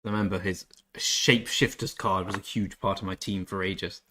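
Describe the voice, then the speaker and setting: A man's voice: low voice, fairly even intonation, normal speed, pushed and bright, a little hiss or hum. Chatty English man streaming a game. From England. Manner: chatty, self-deprecating, reads chat aloud. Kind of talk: casual live-stream chat.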